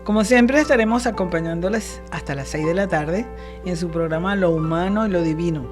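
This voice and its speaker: A woman hosting a radio talk show, warmly introducing her guest and chatting with him, and laughing easily. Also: on the low side, brisk, music in the background. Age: older. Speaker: radio host.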